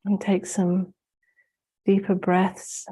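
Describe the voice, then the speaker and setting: A woman hosting a spiritual webinar, gently guiding a meditation while congested from the flu, her voice sounding blocked up. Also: mid-range voice, monotone, husky, poor audio. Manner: slow, soft-spoken, gently guiding. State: congested, getting over the flu. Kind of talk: guided meditation and talk.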